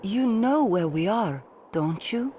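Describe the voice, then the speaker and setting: An actress playing a loyal, practical adventuring companion in a fantasy video game. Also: female voice, medium pitch, expressive, slow, dark tone. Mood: wary. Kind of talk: video game character acting. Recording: clear background ambience noise.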